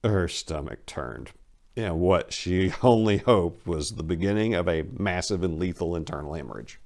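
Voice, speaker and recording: Deep-voiced man narrating dramatically. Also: normal speed, gravelly, darkish tone. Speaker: narrator and author reading his story.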